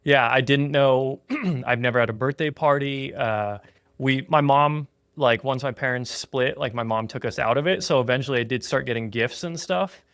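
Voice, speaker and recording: Thirties man, laid-back podcast host, middle pitch, dry close sound. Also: moderate pace, a bit bright, no echo. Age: 30s. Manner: easygoing, earnest, with frequent "um" and "yeah". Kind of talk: casual podcast chat. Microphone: remote call.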